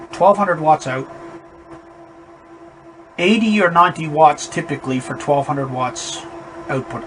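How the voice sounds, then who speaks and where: A man with a fairly high, not boomy voice, casually reviewing a radio amplifier, rambling and emphatic. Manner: rambling, emphatic warnings, restarts. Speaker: ham radio operator reviewing gear. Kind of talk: casual spoken equipment review. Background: amplifier fan noise in background.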